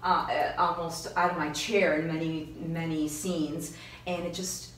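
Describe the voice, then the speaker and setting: A woman interviewer with a slightly low voice chats through her questions in a conversational style. She sounds some way from the microphone in a very echoey room. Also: flat delivery, unhurried, fairly bright tone. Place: small indoor room. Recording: echoey room, distant mic, faint noise.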